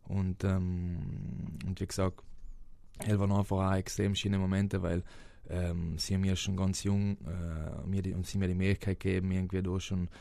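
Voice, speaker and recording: A man's voice: low voice, little pitch movement, normal speed, creaky, dark tone, dry recording. A young man from South Tyrol with a South Tyrolean German accent, answering interview questions hesitantly with many fillers and restarts. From South Tyrol, Italy. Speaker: interviewed footballer.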